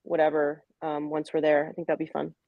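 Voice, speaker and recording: A woman co-hosting a podcast, upbeat and chatty, quick to laugh. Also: low voice, completely flat delivery, gravelly, no room sound, phone-quality sound. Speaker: podcast co-host. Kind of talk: casual podcast chat.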